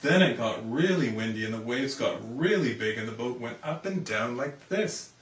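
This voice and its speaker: Casting: male storybook reader, very expressive intonation, echoey and slightly distant sound. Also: medium pitch, normal speed, a little hiss or hum. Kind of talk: animated children's storybook narration. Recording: roomy, distant microphone.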